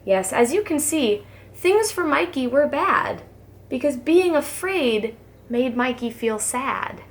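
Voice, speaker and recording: A woman, a preschool teacher, reads a children's storybook aloud in an expressive, sing-song rhyming delivery. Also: sits high, slow pace.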